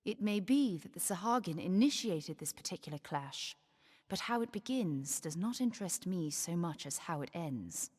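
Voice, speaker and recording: An actress playing a calm, principled woman counsellor in a fantasy game, speaking in a composed, formal and grave manner. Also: fairly low pitch, normal speed, breathy edge. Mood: cool, reproachful. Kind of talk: dramatic fantasy game acting. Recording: long hall reverb.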